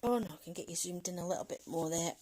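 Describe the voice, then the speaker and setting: A woman's voice: lowish voice, quick pace, warm tone. A woman in her thirties from Manchester, with a Mancunian accent touched by a Scottish lilt, chatting in a rambling way with many fillers as she hosts a livestream. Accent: Mancunian English with a Scottish lilt. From England, Manchester. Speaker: livestream host. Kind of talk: casual chat while colouring.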